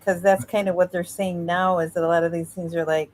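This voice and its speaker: A woman co-hosting a podcast, reacting in a chatty, animated way. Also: fairly low pitch, fairly quick, slight background noise. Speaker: podcast co-host. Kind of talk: casual chat.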